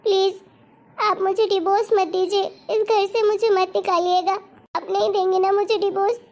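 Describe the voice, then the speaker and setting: Dramatic young cartoon wife played by a woman, extremely high squeaky pitch, whiny and insistent. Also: flat delivery, moderate pace, darkish tone. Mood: remorseful, pleading. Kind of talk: amateur Hindi cartoon story dialogue.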